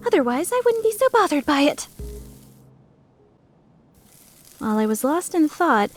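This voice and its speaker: A woman playing a flustered, skeptical young woman who narrates her own story introspectively in a fan-dubbed drama. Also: a little high. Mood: embarrassed, wondering. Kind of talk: fan dub drama with first-person narration.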